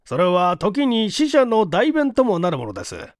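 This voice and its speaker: A man's voice: high voice, wildly swooping pitch, normal speed, no echo, clean studio sound, voice pushed up. A man voicing a grave, authoritative police chief in a mystery story, solemn, formal and probing. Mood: solemn, pressing.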